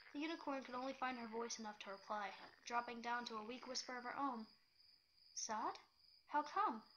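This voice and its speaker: A woman's voice: fairly high pitch, a bit bright, a little hiss or hum, poor audio. A woman reading fan fiction aloud as dramatic scripted narration.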